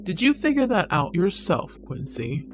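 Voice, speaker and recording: Woman doing a slow, taunting shadow creature. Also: on the low side, very animated, noisy background. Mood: mocking. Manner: slow, taunting, toying with its prey. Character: menacing dark shadow creature, male, cold and mocking. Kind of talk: audiobook character voice. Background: music.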